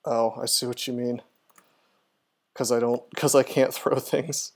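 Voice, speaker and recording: A man's voice: medium pitch, steady tone, unhurried. A man commentating casually on a video game as he streams, chattily thinking aloud through his moves. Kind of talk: casual live gameplay commentary, talking through moves and answering chat.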